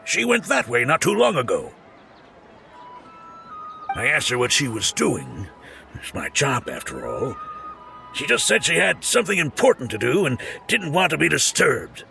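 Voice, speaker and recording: City guard in a game, creaky voice, pitch all over, matter of fact. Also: male voice, huge pitch swings, moderate pace, long pauses, music in the background, full character voice. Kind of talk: video game voice acting.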